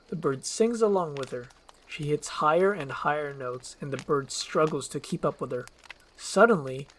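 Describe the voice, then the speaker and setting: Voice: mid-range voice, a bit bright, slight background noise, poor audio. A narrator reading screenplay directions in a scripted ASMR storytelling style.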